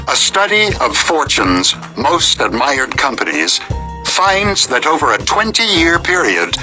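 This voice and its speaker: A man reading nonfiction as an audiobook narrator in a measured, articulate, emphatic delivery. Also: medium pitch, sharp tone, poor audio.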